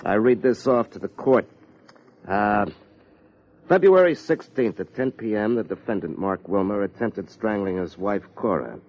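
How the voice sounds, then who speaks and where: Hard-case detective, deep and taunting, slangy shakedown delivery, dull vintage radio crime drama. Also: male voice, animated, a little slow. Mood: menacing. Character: hard, needling male private detective, menacing and mercenary. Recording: dull old radio recording.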